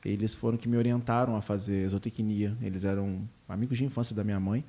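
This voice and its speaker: Capixaba guy, quick talker, low dark voice, polite guest intro. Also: fairly even intonation. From Espírito Santo, Brazil. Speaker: podcast guest. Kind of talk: casual interview self-introduction.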